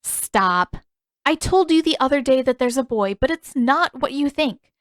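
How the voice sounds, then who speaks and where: A stubborn teenage heroine of a fantasy story, played by a woman narrator, pitched fairly high. She is spirited and quick to get defensive, with an emphatic, exasperated and wry edge. It is recorded very dry. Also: lively intonation, no echo. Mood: firm, exasperated.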